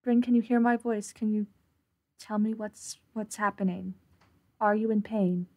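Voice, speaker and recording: A woman's voice: medium pitch, steady tone, a little slow, mellow. A woman playing a tabletop roleplaying game, tentatively narrating and speaking for her character in a casual way.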